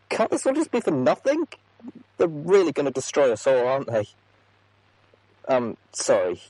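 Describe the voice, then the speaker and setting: Man playing a rattled female pony executive, earnest official address, halting, rough husky tone, dry audiobook sound. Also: fairly low pitch, a little mellow, no room sound, low-quality recording. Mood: despairing, frustrated. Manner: halting, official script slipping into frustrated asides. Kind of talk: audiobook character monologue.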